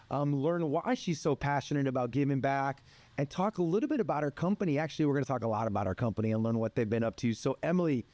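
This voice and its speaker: A man's voice: fairly high pitch, darkish tone, no room sound. A man hosting a podcast, speaking in a conversational interview style. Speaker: podcast host.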